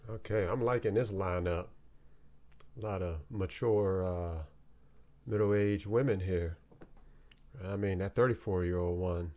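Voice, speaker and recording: A man's voice: low-pitched, unhurried, pauses often, warm tone. A man giving offhand, joking reaction commentary while watching a dating show. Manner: offhand, wisecracking.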